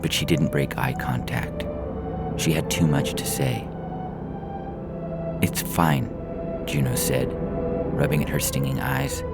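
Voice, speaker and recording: A man's voice: rumbling low, fairly even intonation, measured pace, creaky. A man reading a science fiction story as dramatic, scripted audiobook narration. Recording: scary music behind the voice. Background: ominous music.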